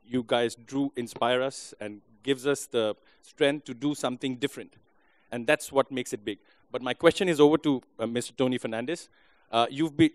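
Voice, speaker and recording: A man's voice: medium pitch, no echo. A man from India with an Indian accent, an audience member asking a question hesitantly, with fillers and restarts.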